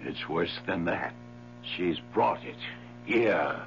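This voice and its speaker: An actor playing an indignant gentleman in a 1970s radio drama, sharp and dramatic in his delivery. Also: low voice, expressive, slow, creaky, soft background music, exaggerated voice. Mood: horrified. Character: indignant gentleman, quick to take offence. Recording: muffled 1970s radio recording.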